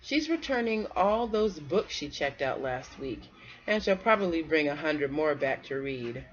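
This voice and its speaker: A woman voicing a practical, brisk squirrel mother in a read-aloud storybook, speaking emphatically to her child. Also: low-pitched, big pitch swings, highs cut off. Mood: practical, coaxing.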